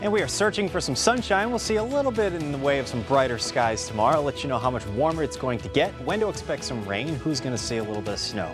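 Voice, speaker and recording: A man's voice: medium pitch, lively intonation, fast, hardly any pauses, over music. An American man presenting a conversational TV weather forecast. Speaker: TV chief meteorologist.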